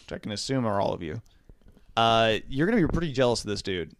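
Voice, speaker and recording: A man co-hosting a podcast, reading out an odd news story in a relaxed, hesitant way with lots of fillers. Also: medium pitch, fast talker, a little hiss or hum. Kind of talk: casual chat.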